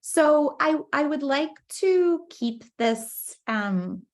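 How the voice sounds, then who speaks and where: A woman's voice: high-pitched, animated, extremely slow. A woman in her thirties, a native Spanish speaker from Costa Rica, giving a casual talk as a guest researcher over a laptop mic on a video call, friendly and full of ums and restarts. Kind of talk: casual online lecture.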